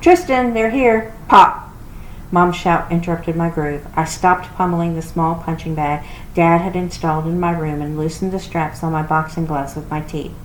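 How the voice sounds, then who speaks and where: A woman giving an expressive storybook read-aloud, like a children's library reader. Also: low voice, moderate pace. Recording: roomy echo with clear background noise.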